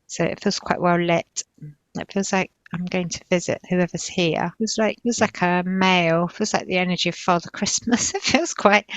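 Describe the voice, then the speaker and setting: A woman narrating visions as a healer in a session, tentative and halting, improvising as she searches for each image. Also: lowish voice, dry recording, low-quality recording. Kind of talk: slow, improvised trance narration.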